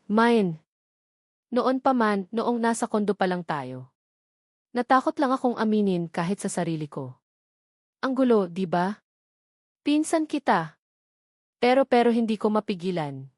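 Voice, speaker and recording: A woman's voice: mid-range voice, expressive, a little slow, pauses often, a little mellow, dry recording, clean studio sound, natural voice. Woman voicing a firm, earnest young man. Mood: tender. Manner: firm, polite, pleading. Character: young man, steady and apologetic.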